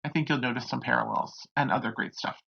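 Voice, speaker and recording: Creaky, husky true crime narrator. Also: medium pitch, fairly even intonation, fast talker. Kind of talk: scripted narration. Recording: dull, lo-fi home recording.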